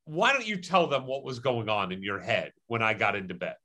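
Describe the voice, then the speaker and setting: A man's voice: on the low side, lively intonation, fairly quick, pushed and bright. A young man hosting a podcast, telling a story in a joking, teasing way. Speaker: podcast host. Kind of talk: casual storytelling chat.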